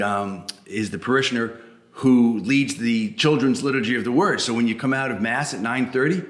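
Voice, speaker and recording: A man hosting a children's story hour, talking conversationally to young listeners and gently repeating phrases for emphasis. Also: on the low side, reverberant. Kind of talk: warm-up introduction addressed to children.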